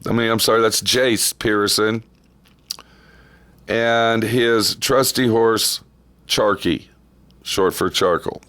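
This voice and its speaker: A man casually introducing a radio programme in a halting, informal manner. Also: lowish voice, fairly even intonation, a bit bright, dry recording. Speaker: radio host.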